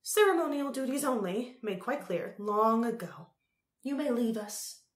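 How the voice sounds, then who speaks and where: A woman's voice: a little high, lively intonation, measured pace, roomy sound, voice pushed up. A woman voicing a drunken, crazed old Empress in an audiobook story, whimpering, crooning and cackling. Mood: haughty, dismissive.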